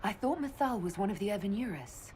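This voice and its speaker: A woman's voice: mid-range voice, lively intonation, a little fast, dark tone, natural voice. A woman voicing a direct, probing elf heroine. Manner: direct, questioning, wounded. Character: young female elf leader, hurt but searching. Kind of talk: video game voice acting. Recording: game audio with music score.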